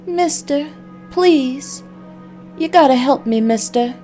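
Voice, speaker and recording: A woman's voice: sits high, slow, dark tone, soft background music, voice pushed up. A woman voicing a timid young woman from a noir game, anxious and politely pleading.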